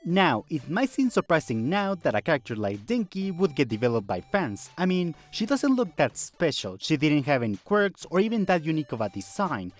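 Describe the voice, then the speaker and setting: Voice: medium pitch, very animated, normal speed, few pauses, faint music behind. A video essayist narrating a scripted fandom video in a conversational way, with rhetorical questions and wry asides.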